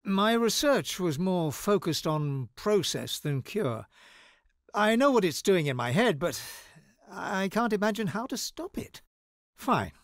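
An actor playing a guarded, anxious scholar wizard in a video game, speaking in an articulate, wry and dramatic manner. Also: male voice, high-pitched, big pitch swings, unhurried, dry recording, studio quality, exaggerated voice. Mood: earnest, troubled.

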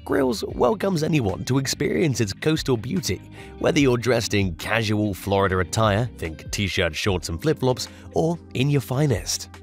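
A man narrating a scripted restaurant countdown in an even, promotional read with odd stresses. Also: mid-range voice, measured pace. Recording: voice-over with faint music.

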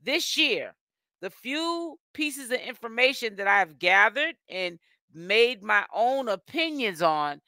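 Chatty Boston woman, bright and clear. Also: lowish voice, dry recording, studio quality. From Boston, Massachusetts. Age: middle-aged. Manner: chatty, emphatic, calls viewers honey. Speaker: commentary channel host. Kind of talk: casual live commentary.

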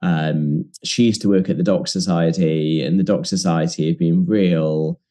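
Interview guest, male, deep mellow voice, thoughtful and earnest, casual fillers. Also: steady tone, measured pace. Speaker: documentary producer, guest.

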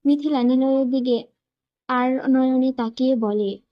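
A woman's voice: high-pitched, fairly even intonation, a little slow, dull audio. A woman narrator reading a scripted romance story.

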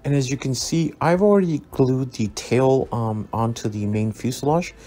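A man narrating a scale model build as a relaxed tutorial, easygoing and full of ums and uhs as he recommends each step. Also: medium pitch, moderate pace. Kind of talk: relaxed instructional voice-over. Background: soft background music.

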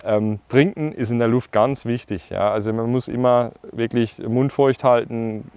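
A man in his thirties from Germany, a paragliding instructor giving relaxed, chatty tips straight to camera with lots of fillers, recorded outdoors on a lapel mic in a meadow. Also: mid-range voice, smooth, no echo. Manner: relaxed, chatty, frequent fillers, addresses viewers directly. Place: grassy meadow outdoors, hills behind.

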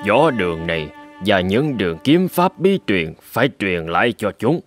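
Prudent old sword master, lively intonation. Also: male voice, on the low side, normal speed, over music. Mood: reflective. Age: older. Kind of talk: animated folktale dubbing.

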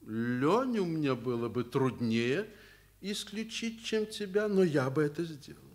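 Fatherly school headmaster, radio play, earnest, deliberate, rather high male pitch, husky. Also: lively intonation. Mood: firm, calm. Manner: deliberate, emphatic, pauses between phrases. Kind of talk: Soviet radio drama acting.

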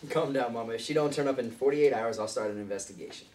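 A man reads a crude small-town sheriff, quick and dismissive. The mid-pitched voice is far from the mic in an echoey room. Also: a little mellow, natural voice. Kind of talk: script table read. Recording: distant echoey room with noise.

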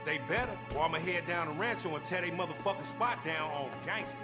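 A man playing a brash, boastful, foul-mouthed young American gangster stoner in a video game cutscene, with loud slangy swagger. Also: on the high side, fast talker, close to an everyday voice. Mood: scheming. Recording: music behind the voice.

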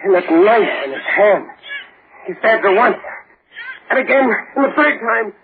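An actor playing a desperate accused husband bent on revenge, intense and urgent with rough street talk, in 1940s radio drama style. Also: male voice, high voice, expressive, unhurried. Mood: anguished, vengeful. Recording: tinny, noisy 1940s radio recording.

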